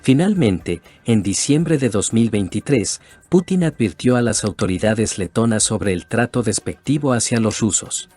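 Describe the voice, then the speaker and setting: A man reading a scripted documentary narration in a measured way. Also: on the low side, expressive, dark tone, soft background music.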